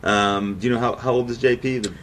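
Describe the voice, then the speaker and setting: A man's voice: mid-range voice, fairly even intonation, fast talker, fairly bright tone, far from the mic, a little hiss or hum. A man in his thirties speaking as an interview guest, explaining things in a casual, informal way like an insurance agent. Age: 30s.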